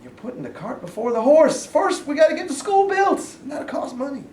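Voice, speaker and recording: A man in a staged play reading, playing a domineering, folksy Southern authority figure who gives gruff commands. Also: very high voice, big pitch swings, fairly quick, a little mellow. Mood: commanding, persuasive. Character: blustering Southern sergeant turned governor and stern father. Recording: distant echoey room, faint noise.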